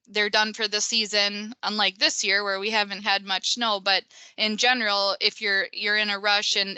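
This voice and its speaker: A woman's voice: medium pitch, sharp tone, smooth, dry recording. A woman in her thirties with a Minnesota accent, presenting over a video call in a conversational way, with many ums and restarts. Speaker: pavement engineer presenter. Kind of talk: webinar presentation.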